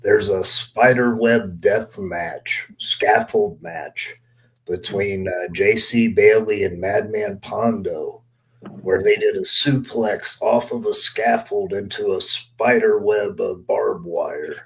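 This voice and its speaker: A man's voice: fairly low pitch, slow, creaky, poor audio. A middle-aged man, a veteran wrestler, reminiscing casually and repeating himself for emphasis. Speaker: veteran wrestler, guest commentator.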